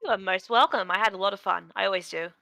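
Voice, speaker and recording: A woman's voice: mid-range voice, fast talker, vocal fry, pushed and bright, no room sound, clean studio sound. A woman chatting casually as a co-host on a livestream. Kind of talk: casual voice chat.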